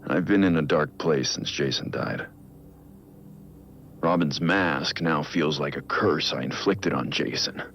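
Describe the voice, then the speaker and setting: A brooding middle-aged vigilante mentor narrates a video game audio log in an extremely deep, gravelly voice. He is grave and introspective, weighed down by guilt. The sound is slightly dull and lo-fi. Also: a little slow, fairly bright tone, slight background noise, full character voice. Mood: brooding, doubtful. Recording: muffled lo-fi audio log, music underneath. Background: music.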